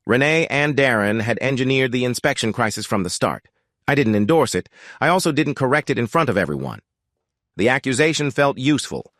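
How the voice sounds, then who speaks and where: A man narrating a scripted first-person story in a measured, deliberate way, like an audiobook. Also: mid-range voice, a bit bright, no room sound, clean studio sound.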